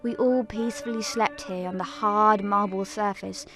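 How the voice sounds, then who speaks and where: A woman's voice: medium pitch, steady tone, moderate pace, fairly bright tone, soft background music, natural voice. A woman playing an earnest young pilgrim who narrates a family tragedy in a scripted audio drama.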